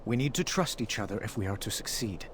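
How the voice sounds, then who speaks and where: Game cutscene role: noble, resolute human king male actor, slightly deep, firm delivery, noticeable background noise. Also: animated, quick pace, lightly acted. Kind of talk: video game cutscene acting. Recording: clearly noisy ambience behind the voice.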